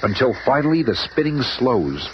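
A man's voice: medium pitch, very animated, normal speed, close to an everyday voice. A man narrating a superhero radio serial in a dramatic, scripted, suspenseful style. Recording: muffled old radio recording, faint noise.

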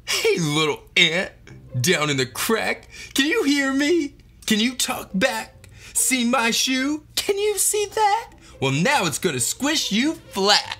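A man's voice: very high-pitched, huge pitch swings, takes it slowly, sharp tone, soft background music, voice pushed up, exaggerated voice. A man voicing a bossy, scornful little boy in a children's storybook read-aloud, taunting with exaggeration.

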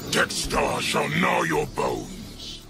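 A savage alien brute from a video game, full of rage. It is extremely gravelly and slow, swooping wildly in pitch over loud music. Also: male voice, wildly swooping pitch, full character voice. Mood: savage menace. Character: savage, raging male alien monster warrior. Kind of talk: menacing video game villain acting.

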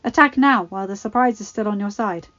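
A cunning, cold-blooded court adviser gives measured, persuasive counsel, voiced by a woman. The recording is a little dull. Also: medium pitch, fairly bright tone, natural voice. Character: cunning male former maester, cold adviser.

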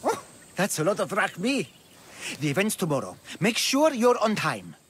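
Ancient gambler, very high voice, lively, wheedling, scheming hustler, video game character. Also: male voice, normal speed, a little hiss or hum. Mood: eager hustling. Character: scheming, eager male gambler in ancient Greece.

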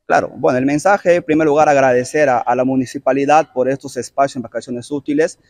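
A man in his thirties with a Peruvian accent, a youth football coach answering an interview on an outdoor pitch, measured and explanatory. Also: fairly even intonation, darkish tone, no room sound. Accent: Peruvian Spanish. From Peru. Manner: measured, explanatory, frequent tag questions. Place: outdoor artificial-turf football field. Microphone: handheld reporter mic held close.

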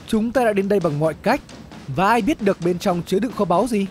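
A narrator puts on a pompous cartoon explorer, eager and dismissive. The male voice is high and mellow, quick to get excited, over soft music.

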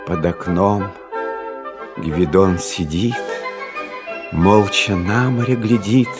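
A man's voice: low-pitched, slow, over music. A man narrating a fairy tale in verse, in a theatrical, declamatory manner.

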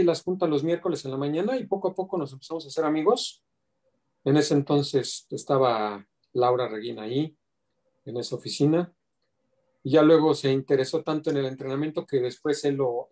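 A man's voice: medium pitch, dark tone. A middle-aged man speaking earnestly on a video call, giving a reflective tribute to a friend and mixing in quoted asides. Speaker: community organizer paying tribute.

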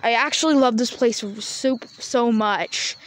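A woman's voice: on the high side, measured pace. A young woman vlogging while walking around a farm yard, chatty and often dropping to a whisper. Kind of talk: casual walk-around vlog. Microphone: handheld phone camera. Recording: noisy outdoor phone audio.

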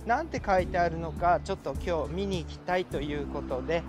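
A man's voice: on the high side, brisk, over music. A middle-aged man casually narrating a walking tour outdoors, polite and enthusiastic, speaking into a handheld camera. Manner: chatty, polite, enthusiastic guide. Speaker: vlogger. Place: outdoors on city streets and in a park. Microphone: handheld wide-angle camera.

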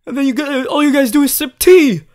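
A man voicing an earnest, fussy but caring student class president in an ASMR roleplay, gently bossy and often stumbling over his words. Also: very high-pitched, a little fast. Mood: flustered. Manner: hesitant, repeating himself, gently bossy. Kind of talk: ASMR audio roleplay addressed to the listener.

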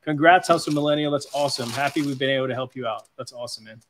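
An upbeat man in his thirties chats through a live unboxing, calling out finds and reading out viewer comments. The sound comes from a room stacked with boxes, with steady noise in the feed. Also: medium pitch, racing. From South Africa. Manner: upbeat, reads chat aloud. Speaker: channel host. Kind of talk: casual live-stream unboxing chat.

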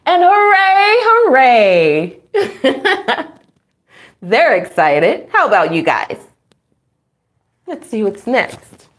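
A middle-aged woman reads aloud as a children's storytime host. Her pace is extremely slow and deliberate, with a pitch that swings widely. She stresses words in a sing-song way, exclaims often and puts questions to her young listeners. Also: wildly swooping pitch. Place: small room at home, indoors.

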